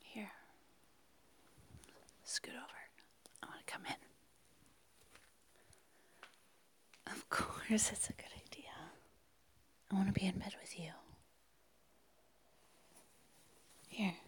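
A woman playing a seductive, insistent secret night-time lover in an intimate audio roleplay, speaking in a hushed, breathy, coaxing whisper. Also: medium pitch, close to an everyday voice. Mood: insistent, cozy. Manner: coaxing, hushed, slow and pleading.

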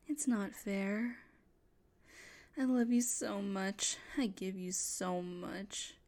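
A woman playing a possessive, unstable, domineering woman in an intimate audio roleplay. She whispers and coos in a breathy, menacing voice. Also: medium pitch, warm tone. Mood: aggrieved.

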